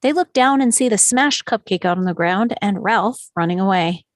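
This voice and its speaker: A woman's voice: mid-range voice, lively intonation, normal speed, dry recording. Script narrator: woman, mid-range voice, reading stage directions, very dry recording.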